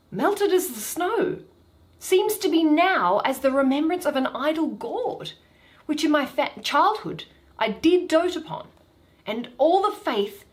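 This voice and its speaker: A woman reading Shakespeare, voicing an ardent, earnest young Athenian man in love, in theatrical verse. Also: high voice.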